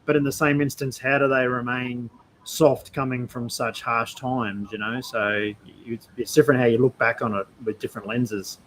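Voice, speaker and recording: An Australian man hosting a casual podcast interview, talking in a halting, discursive and reflective way. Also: medium pitch, little pitch movement, a bit bright, a little hiss or hum, poor audio. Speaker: podcast host.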